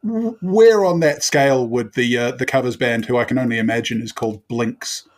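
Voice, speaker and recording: A man playing a tabletop role-playing game, bantering casually in a deliberate, wordy, playful way. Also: mid-range voice.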